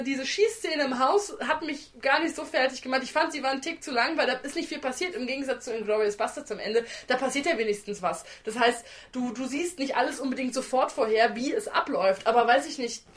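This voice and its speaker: A woman co-hosting a film podcast, talking casually and critically with emphatic, colloquial opinions. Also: high voice.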